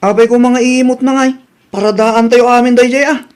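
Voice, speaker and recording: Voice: medium pitch, steady tone, a little fast, a little mellow, lightly acted. An actor with a Filipino accent playing an indignant, defiant young adult in a comedy radio drama. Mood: complaining. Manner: complaining, talking back. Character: indignant grown child, defiant toward a greedy uncle.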